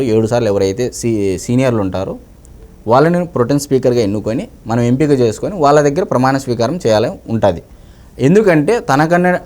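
Guy getting interviewed on politics, normal pitch, talks emphatically and repeats himself to make the point. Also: a little mellow. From Andhra Pradesh, India. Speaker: interviewee, member of the public giving political opinions. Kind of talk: conversational political commentary.

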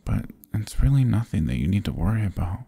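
A man voicing a stubborn but affectionate sick young boyfriend in an intimate ASMR roleplay, soft, husky and drowsy, murmuring close to the mic. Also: fairly low pitch, big pitch swings, brisk, dry recording, full character voice. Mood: reluctant, reassuring. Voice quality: husky, gravelly, breathy. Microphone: close, dry microphone.

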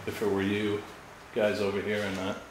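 A man with a somewhat low voice murmurs nervous commentary while he picks his way through a derelict house. His voice rings off bare walls, and a steady hiss sits under it from the handheld camera. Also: normal speed. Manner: hushed, uneasy, talking to viewers. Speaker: vlogger exploring an abandoned house. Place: empty derelict house, indoors. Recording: echoey bare room, noisy.

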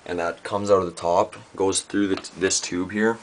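A young man with a very low voice talks through a casual home demo. He is laid-back and halting, leaning on filler words. The amateur camera mic picks up plenty of noise around him in the small room. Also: fairly even intonation. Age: young adult. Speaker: amateur musician demonstrating gear. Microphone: handheld camera's built-in microphone. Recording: noisy amateur camera microphone.

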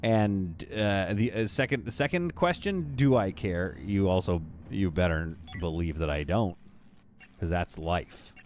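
A Canadian man in his thirties, streaming a game from a home green-screen setup, joking with chat and talking on a boom mic. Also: unhurried, a little hiss or hum. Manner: playful, jokey, lively, swings between loud bursts and quiet asides. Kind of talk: casual livestream chat while building in a game. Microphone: studio mic on a boom arm.

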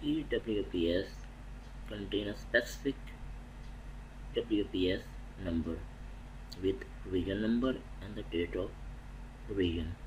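A man narrating a technical lecture over slides, methodical and halting, restarting phrases mid-sentence. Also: fairly low pitch, muffled recording, a little hiss or hum. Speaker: welding inspection instructor.

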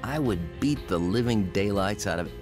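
A man's voice: lowish voice, expressive, moderate pace, over music, lightly acted. A man playing a brooding character who narrates his own violent youth in a radio drama. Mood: grim.

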